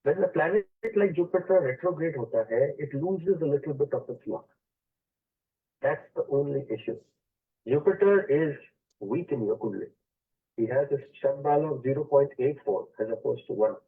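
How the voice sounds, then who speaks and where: A man hosts an astrology call-in show on radio, reading a listener's chart aloud in English laced with Hindi. His voice sits a little high and husky. The sound is thin and phone-like, as if heard over a call line. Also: animated, moderate pace. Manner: mixes Hindi phrases into English, explains charts patiently.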